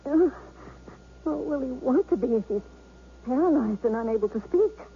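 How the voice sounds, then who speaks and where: Worried young wife, high-ish voice, swings all over, tinny old radio sound with music. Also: female voice, animated, moderate pace, a little hiss or hum. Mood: anxious. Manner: emotional, pleading. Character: anxious devoted young wife. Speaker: wife of an injured man. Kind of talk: 1970s radio drama acting.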